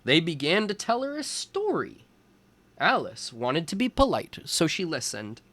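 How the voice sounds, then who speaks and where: A man reading a storybook aloud as a teacher for young children, in deliberate phrases. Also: on the high side, wildly swooping pitch, fairly bright tone.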